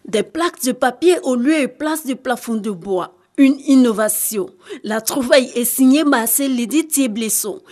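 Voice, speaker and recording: A woman's voice: a little high, darkish tone, faint music behind. Woman reporter. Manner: measured, formal delivery. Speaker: news reporter. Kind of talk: scripted news narration.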